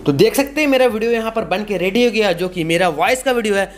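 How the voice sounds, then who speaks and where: A man's voice: high voice, quick pace, noisy background. A man, giving a casual, repetitive step-by-step tutorial. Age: 20s. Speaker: tutorial host. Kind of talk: casual video-editing tutorial.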